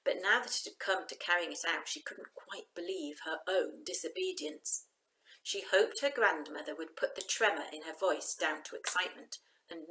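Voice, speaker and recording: A woman reading a children's novel aloud in an expressive, emphatic storytelling style. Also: on the low side, rough recording.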